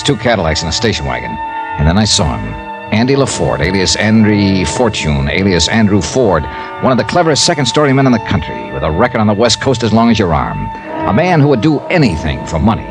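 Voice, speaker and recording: An actor playing a wry, hard-boiled insurance investigator in a 1950s radio drama, clipped and matter-of-fact. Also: male voice, on the low side. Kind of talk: 1950s radio detective acting and narration.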